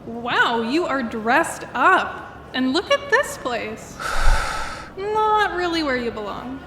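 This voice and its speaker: A woman's voice: high-pitched, expressive, slow pace. A woman playing a sassy, teasing inner voice in a writer's head in an audio drama. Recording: echoey long reverb, clear noisy ambience.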